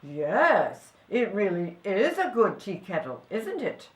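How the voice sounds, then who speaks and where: Woman playing a polite, chatty shopkeeper, lively-pitched. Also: slow, echoey room. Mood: genial selling. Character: friendly male shopkeeper selling an old tea kettle. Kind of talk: storybook character voice.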